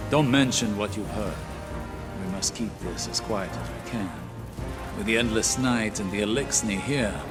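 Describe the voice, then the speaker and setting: An actor playing a shrewd, composed mentor in a video game, speaking in a measured, persuasive way. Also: male voice, on the low side, lightly acted. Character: shrewd, composed male mentor, quietly calculating. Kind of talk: dramatic video game acting. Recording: loud music under the voice. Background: loud game score.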